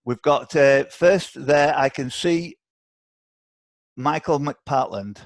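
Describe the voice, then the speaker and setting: A man's voice: medium pitch, takes it slowly, no room sound. A man hosting a live online quiz, chatty and encouraging as he reads questions aloud. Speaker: quiz host. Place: online video call.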